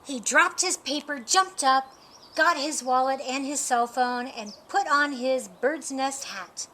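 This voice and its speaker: Slow, high-voiced woman reading a storybook. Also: sharp tone, a little hiss or hum. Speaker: storybook reader. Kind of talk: children's picture book read-aloud.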